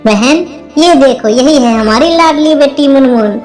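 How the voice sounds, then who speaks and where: An earnest female cartoon bird, voiced by a woman, talks in a high voice over faint music. She is affectionate, with a plaintive edge. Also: a little slow, darkish tone. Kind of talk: Hindi cartoon story dialogue.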